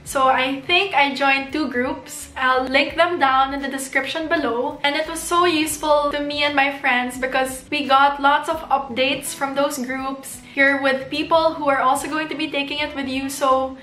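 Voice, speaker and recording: Filipino woman in her twenties, high bright voice, chatty and encouraging, echoey bedroom. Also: fairly even intonation, normal speed, hardly any pauses. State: losing her voice. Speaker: vlogger giving test tips. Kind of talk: casual advice vlog. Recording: echoey room.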